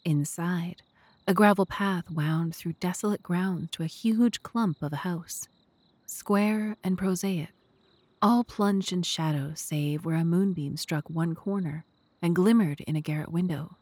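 A woman's voice: fairly low pitch, a little slow, darkish tone, no echo. A woman narrating an audio drama from a script, in a storytelling style.

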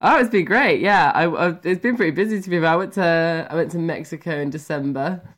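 A man's voice: on the high side, a little fast, fairly bright tone. A tired man chatting casually as an interview guest, giggly and self-effacing, on an internet call.

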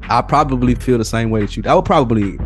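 A man's voice: medium pitch, fast, faint music behind. An American man co-hosting a casual podcast, talking in slang and slipping into acted-out hypothetical quotes. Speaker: podcast co-host. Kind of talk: casual chat.

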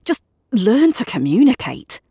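A prim neighbour who scolds in curt, pointed lines, her voice pitched up high and clipped, as the reader puts her on. The sound is dry and close. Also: big pitch swings, measured pace, creaky, dark tone, breathy edge, dry recording, full character voice. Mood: scolding.

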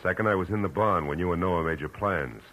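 A hard-boiled American detective with a very low, forceful voice. He talks in clipped, world-weary, commanding phrases, in old-time radio style over a faintly noisy recording. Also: fast talker. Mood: blunt, urgent. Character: hard-boiled male private detective from Los Angeles. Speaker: lead actor and first-person narrator. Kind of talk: 1940s radio detective acting and narration.

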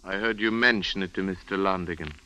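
An actor playing a heavy-set male nurse in a 1940s radio drama, polite, measured, servile and guarded. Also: deep voice, bright, forceful tone, low-quality recording. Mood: quietly evasive.

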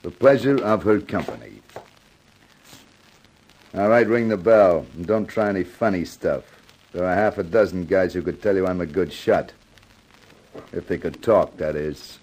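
An actor playing a sardonic, slangy gangster who threatens coolly in a 1950s hardboiled radio drama. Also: male voice, sits low, measured pace, pauses often, darkish tone, slight background noise, rough recording. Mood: cool menace. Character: menacing, sardonic American gangster with a gun.

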